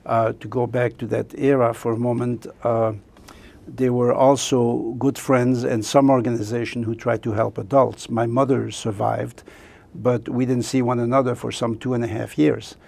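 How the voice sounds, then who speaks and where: An older man whose native language is French, a guest author speaking reflectively in an interview with many pauses and fillers. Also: mid-range voice, little pitch movement, gravelly, a little mellow.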